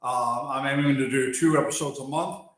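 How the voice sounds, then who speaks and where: A man's voice: medium pitch, steady tone, fairly bright tone. American-accented man on a very echoey stream. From Germany. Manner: unhurried, pausing with fillers, answering chat between thoughts. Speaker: wildlife channel host. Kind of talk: live stream chat with viewers. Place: outdoors or open area while working on a bike. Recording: very echoey space.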